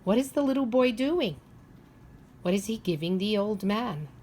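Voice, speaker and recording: A woman teacher reading a picture book aloud to young children, expressive and instructive, often pausing to ask them questions. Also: mid-range voice, slight background noise. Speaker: preschool or primary teacher.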